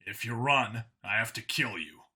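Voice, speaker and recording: A man's voice: on the low side, moderate pace, bright, forceful tone, slightly rough, pitched below the performer's own voice, full character voice. A man voicing a tough human undercover cop in a sci-fi audiobook, wry and casually threatening. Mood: calm threat.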